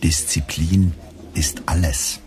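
An actor playing a weary male radio editor who narrates in a measured, precise, dry literary style. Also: extremely low pitch, fairly even intonation, darkish tone, soft background music.

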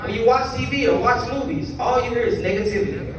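Teen male youth preacher, high voice, earnest, distant phone capture in a very echoey church, crowd noise behind. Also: quick pace. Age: teens. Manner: earnest, reads scripture then preaches in short phrases. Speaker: youth speaker. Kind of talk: youth sermon at a convention. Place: large church sanctuary. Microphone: pulpit mic filmed by a phone from the pews. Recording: roomy far-off phone recording in a large hall. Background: congregation ambience.

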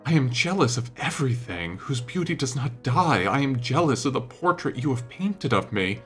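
A man voicing a petulant, melodramatic young gentleman in an audiobook, vain and wounded, speaking in an impassioned, plaintive way. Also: medium pitch, big pitch swings, moderate pace, soft background music, voice pushed up, close to an everyday voice. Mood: jealous, despairing.

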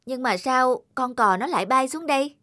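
Woman as very high-pitched eager little boy. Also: normal speed, no room sound, studio quality. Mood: excited, surprised. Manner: childlike, pleading, excitable. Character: eager, carefree young boy at play. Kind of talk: cartoon voice acting. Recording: loud children's music behind the voice.

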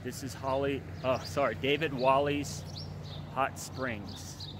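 A man's voice: mid-range voice, slow pace. A man in his forties chatting casually to his phone camera on a road trip, from the car and at roadside stops. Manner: relaxed, chatty narration to camera. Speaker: travel vlogger. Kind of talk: casual travel vlog. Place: inside a car while driving, also outdoors at stops. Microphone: phone camera at arm's length. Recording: car and road noise behind.

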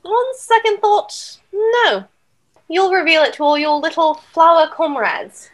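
A woman's voice: very high-pitched, measured pace. A woman playing a brooding, earnest young noble in a theatrical, Shakespearean audio drama manner. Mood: conspiratorial. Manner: theatrical, archaic Shakespearean phrasing. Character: brooding, earnest young Danish noble sworn to avenge a father.

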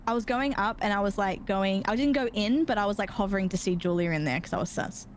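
A woman playing an online game, talking casually in a halting way and repeating herself as she debates with other players. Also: mid-range voice. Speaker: player in a social deduction game. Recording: noisy online game voice chat.

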